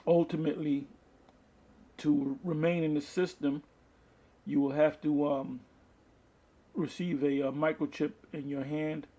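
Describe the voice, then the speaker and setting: A man preaching from the Bible, reading verses aloud and explaining them in a halting, deliberate manner, with a husky, gravelly voice. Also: fairly high pitch, warm tone. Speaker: street-style Bible preacher. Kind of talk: Bible teaching with scripture read aloud and commentary.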